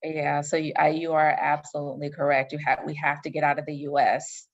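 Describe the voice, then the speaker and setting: A woman's voice: low-pitched, level tone, brisk. A woman co-interviewer, agreeing with the guest enthusiastically. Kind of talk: casual interview chat. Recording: dull lo-fi sound.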